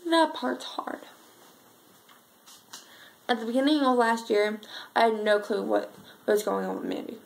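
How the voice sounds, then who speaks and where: Woman acting sad schoolgirl, high earnest voice. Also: creaky. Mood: wistful, sad. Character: sad, frightened schoolgirl recalling her missing friend. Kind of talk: amateur dramatic monologue.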